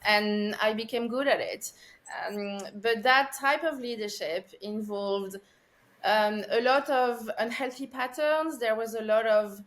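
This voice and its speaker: A woman in her forties from France with a French accent, speaking as a podcast guest in a thoughtful, measured way with frequent pauses and fillers. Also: on the high side, fairly bright tone, low-quality recording. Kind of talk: podcast interview.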